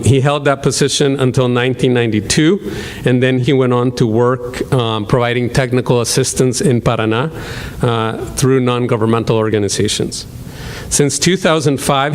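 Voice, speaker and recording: A man with a Salvadoran Spanish accent introduces a guest speaker at a university talk. He speaks at an ordinary pitch, pausing often with ums and starting sentences over. A faint hum runs behind him. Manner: hesitant, many ums and restarts. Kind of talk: lecture hall introduction.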